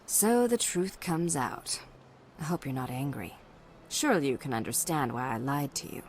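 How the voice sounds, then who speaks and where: An actress playing a guarded, calculating alien diplomat in a video game, speaking formally and with composure. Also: female voice, rumbling low, lively intonation, moderate pace, a little hiss or hum. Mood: coolly candid. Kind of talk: dramatic video game voice acting.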